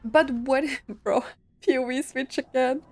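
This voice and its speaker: A woman's voice: high-pitched, unhurried. A woman doing casual let's play commentary, slangy and puzzled as she thinks aloud. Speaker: streamer reacting to a game story. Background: faint game music and dialogue.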